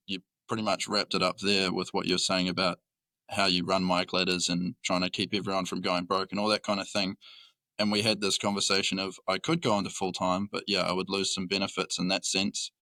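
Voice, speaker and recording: A man's voice: sits low, level tone, brisk, sharp tone, no echo, low-quality recording. A young man from New Zealand with a Kiwi accent, a podcast guest talking openly and thoughtfully about work. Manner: open, reflective, many fillers. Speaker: guest, employee. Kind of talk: casual podcast chat.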